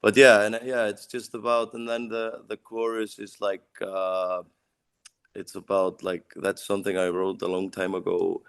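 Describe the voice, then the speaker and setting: A man's voice: fairly low pitch, completely flat delivery, moderate pace, smooth. A young man with an Icelandic accent, a band singer answering interview questions in casual English that is not his first language. He searches for words and leans on "like" as he talks. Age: young adult.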